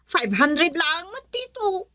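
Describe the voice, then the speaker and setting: Spoiled young ilocano girl in a radio drama, high bubbly voice, super swoopy, gushy. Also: very animated, slow pace, bright, forceful tone, no echo, exaggerated voice. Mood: excited, wheedling. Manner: gushing, playful. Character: bubbly, spoiled young adult daughter fond of shopping.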